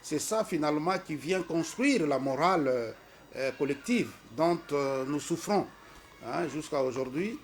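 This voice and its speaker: Congolese man, middle-aged, slow hesitant speech with a preacher's emphasis, faint noise under the recording. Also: fairly high pitch, animated. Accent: Congolese French. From Congo-Kinshasa. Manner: hesitant, many fillers. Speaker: school representative. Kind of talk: formal address presenting a school.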